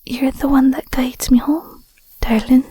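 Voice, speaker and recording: A woman voicing an affectionate, bashful young Scottish werewolf girlfriend in an intimate audio roleplay, soft, whispery and a little hesitant, close on the mic. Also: sits high, fairly even intonation, a little slow, breathy edge, no room sound. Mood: sincere, tender. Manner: hesitant, stammering, murmuring endearments. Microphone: close microphone.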